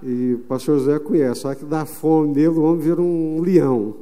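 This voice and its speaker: Man, Brazilian pastor, sermon, mellow tone, echoing sanctuary. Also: a little high. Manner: emphatic preaching with slow, drawn-out phrases. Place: church sanctuary. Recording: long reverb of a church hall.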